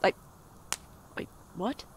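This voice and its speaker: A woman's voice: slow, a little hiss or hum, lightly acted. A woman playing an anxious, sarcastic young shop worker in an audio drama, with wry, exasperated narration and dialogue. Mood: wry, fed up. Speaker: podcast drama lead and narrator.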